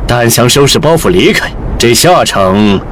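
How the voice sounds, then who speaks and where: A man's voice: mid-range voice, animated, unhurried, a bit bright, slight background noise. A man playing an arrogant, cruel, imposing general in an audio story, speaking in a cold, haughty, menacing manner. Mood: haughty, threatening. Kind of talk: Chinese audio drama acting.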